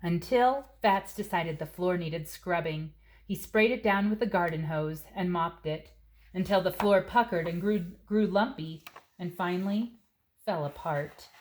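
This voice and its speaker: A woman narrates a children's storybook. Her voice sits a little low, and she phrases each sentence expressively and deliberately for young listeners. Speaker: storybook reader.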